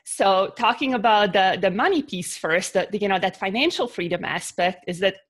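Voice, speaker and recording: A woman's voice: medium pitch, normal speed. A woman from Bosnia with a Bosnian accent, a podcast guest talking through her ideas with many fillers and restarts. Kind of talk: casual interview talk.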